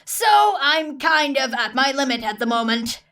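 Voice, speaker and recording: A woman's voice: high voice, animated, unhurried, a bit bright, recorded from a distance, pitched above the performer's own voice. Woman as a harried, defensive male workshop teacher. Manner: blunt, put-upon, defensive.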